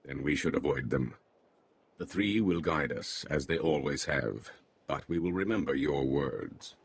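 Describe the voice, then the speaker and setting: A wary alien man with a rock-bottom voice. He speaks formally and earnestly in a game, his pitch moving freely, with faint ambient noise behind. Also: expressive, a little slow, exaggerated voice. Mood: solemn. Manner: formal, questioning, simple phrasing.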